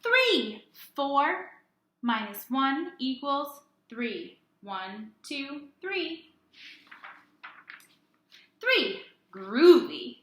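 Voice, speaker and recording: Lady teacher reading to little kids, really high voice, slow n sing-song, echoey room. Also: big pitch swings. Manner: sing-song, deliberate, asks questions and answers them. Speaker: early-grades teacher. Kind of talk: read-aloud lesson for young children.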